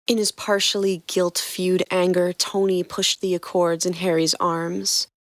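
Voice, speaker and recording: Low-voiced woman narrating a story. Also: flat delivery, measured pace, dry recording, studio quality. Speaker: podcast co-host narrating fanfiction.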